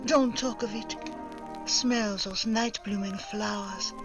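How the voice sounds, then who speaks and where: A woman's voice: medium pitch, fairly even intonation, slow pace, over music. An actress playing a proud, glamorous young Frenchwoman in a 1940s-style radio drama, speaking formally and romantically. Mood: wistful, romantic. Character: proud glamorous young French woman, resistance money smuggler.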